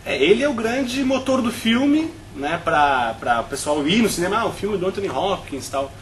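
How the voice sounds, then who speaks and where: A man's voice: high-pitched, big pitch swings, normal speed, fairly bright tone, slight background noise. A Brazilian man on a film review panel, talking at length with frequent restarts and tag questions.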